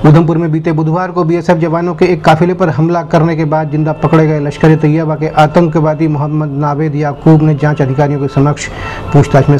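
A man reads scripted news as a presenter. His voice sits a little higher than average for a man. He announces formally and in measured phrases, with some light noise in the recording. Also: mellow. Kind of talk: scripted news bulletin reading.

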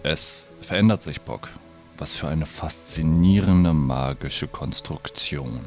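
Man as very deep, stern old druid. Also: slow, faint music behind, full character voice. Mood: fascinated. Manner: curt, commanding, pondering. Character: stern, greedy old druid, absorbed in magic.

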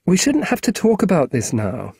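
A man's voice: high voice, huge pitch swings, moderate pace, creaky, darkish tone, husky, no echo, studio quality, pitched above the performer's own voice, full character voice. A man reading aloud, voicing a kind mother who speaks hesitantly and apologetically. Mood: embarrassed.

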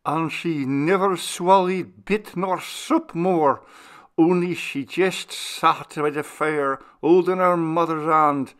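A man voicing an old servant woman telling a sorrowful ghost story in a folksy, deliberate way. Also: a little high, a bit bright, smooth. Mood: grim, pitying. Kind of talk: literary dialogue storytelling.

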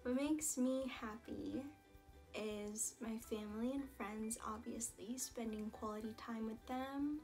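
A young woman from Canada, a singer answering viewer questions in a casual, chatty vlog with relaxed fillers and asides. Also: on the high side, takes it slowly, soft background music. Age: young adult. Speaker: singer and vlogger. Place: small indoor room against a plain wall. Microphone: camera in front at head-and-shoulders framing.

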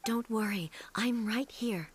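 A woman voicing a calm, regal, godlike ruler in a video game, speaking in a measured, formal way. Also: on the high side, slight background noise, close to an everyday voice. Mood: reassuring, stately.

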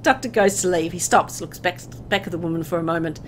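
A woman reading a horror visual novel aloud as dramatic, expressive narration, as host of a gameplay video. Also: fairly low pitch, fast talker, a little hiss or hum.

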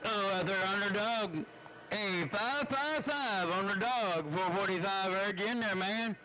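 A man's voice: high voice, a little slow, bright, forceful tone, a little hiss or hum, low-quality recording. A man chatting casually over CB radio in a folksy, teasing, slangy way. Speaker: CB radio operator.

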